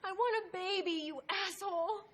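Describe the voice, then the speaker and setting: A woman's voice: very high-pitched, normal speed, fairly bright tone, slight background noise. A woman playing a fed-up, complaining wife with a fiery temper in a comedy film. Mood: demanding, hurt. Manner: complaining, accusatory. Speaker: film character.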